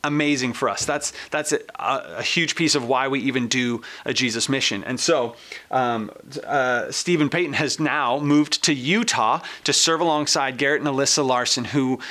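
A man's voice: mid-range voice, normal speed, sharp tone. A man with an American accent presenting and interviewing as a show host in an informal, enthusiastic, conversational way.

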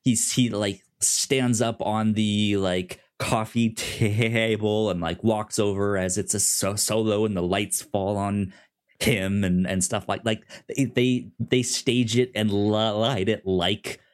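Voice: sits low, steady tone, takes it slowly. A podcast co-host chatting casually about television, stammering and often repeating sounds and words. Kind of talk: casual TV discussion chat.

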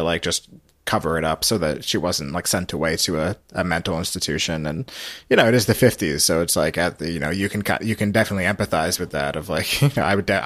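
Very deep-voiced man hosting a podcast. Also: a bit bright, no echo, clean studio sound. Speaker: podcast host. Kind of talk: casual podcast talk.

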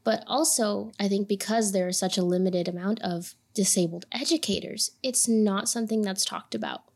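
A woman's voice: mid-range voice, moderate pace. Passionate Puerto Rican woman musician. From Puerto Rico. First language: Spanish. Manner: earnest, passionate, animated. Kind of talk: podcast conversation.

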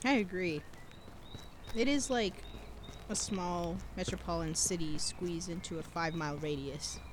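A woman's voice: lowish voice, moderate pace, background noise, natural voice. An actress playing a reflective seventeen-year-old girl, talking into a tape recorder in an audio drama. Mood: chatty. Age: teens. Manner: wistful, introspective diary-style monologue. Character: reflective teenage girl on the run. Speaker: narrator and lead character.

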